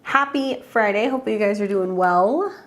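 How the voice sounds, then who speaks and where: A woman's voice: medium pitch, measured pace. A woman with a head cold and a congested voice, chatting casually as an astrologer hosting live readings and greeting viewers by name. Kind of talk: casual livestream chat.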